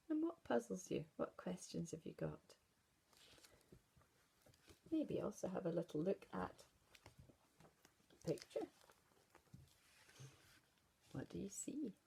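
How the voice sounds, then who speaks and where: A woman teacher with a Scottish accent reading a storybook aloud to pupils and asking gentle questions. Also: on the low side, gravelly, breathy edge. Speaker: primary school teacher.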